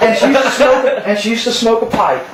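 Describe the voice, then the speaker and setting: A man reminiscing in casual chat, in a halting, informal, amused way. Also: very high voice, recorded from a distance. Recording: echoey room with crowd noise.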